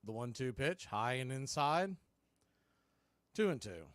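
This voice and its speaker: A man's voice: mid-range voice, expressive, unhurried, a bit bright, no echo. A man doing live baseball play-by-play commentary as the show's announcer, in terse, clipped calls.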